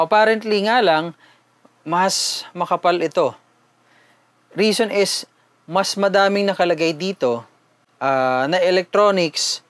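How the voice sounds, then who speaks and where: A man's voice: high-pitched, a bit bright, no echo. A man with a Filipino accent doing a casual, conversational unboxing and product review, mixing two languages as he talks informally to viewers.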